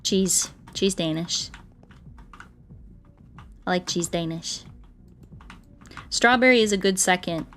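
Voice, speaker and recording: A woman doing a casual gaming livestream, rambling playfully and thinking out loud as she chats with viewers. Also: lowish voice, slow pace, long pauses, a little hiss or hum. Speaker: streamer playing Minecraft and chatting with viewers.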